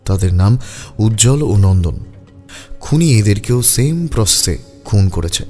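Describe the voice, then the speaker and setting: A man narrates a detective story in a fairly deep, very mellow voice. The delivery is scripted storytelling, with faint music behind it. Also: animated, unhurried.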